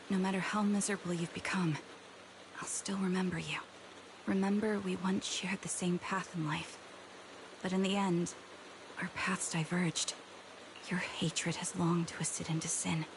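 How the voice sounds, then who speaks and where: A woman playing a grave, gentle young female sniper in a game, speaking softly and with measured wistfulness. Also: lowish voice, fairly even intonation, background noise. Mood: sorrowful, resolute. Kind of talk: dramatic game voice acting. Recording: music behind the voice. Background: game music.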